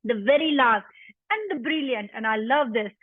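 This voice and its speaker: High-voiced woman host on a thin line. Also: expressive, moderate pace, no room sound. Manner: enthusiastic, emphatic. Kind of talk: moderating an online panel. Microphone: video call. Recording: tinny dry phone-like line.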